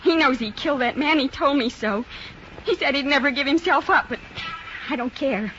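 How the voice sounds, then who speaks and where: A woman's voice: sits high, normal speed, fairly bright tone. A woman playing an anguished, frightened criminal's wife in a 1950s radio drama, speaking hesitantly and plainly. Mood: anguished, resolute. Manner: hesitant, plain unschooled speech. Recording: dull, noisy 1950s radio recording.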